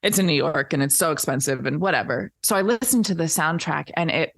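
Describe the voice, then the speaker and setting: A woman with a slightly low voice talks casually as a podcast guest. She is enthusiastic and leans hard on her words. The sound is dry, with no room echo. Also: lively intonation, brisk, creaky. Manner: enthusiastic, emphatic. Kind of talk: casual chat.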